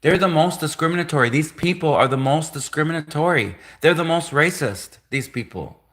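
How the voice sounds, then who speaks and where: A man's voice: fairly high pitch, expressive, fairly quick, fairly bright tone. A man hosting a livestream, talking insistently with lots of repetition and restarts. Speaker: livestream host. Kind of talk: livestream rant.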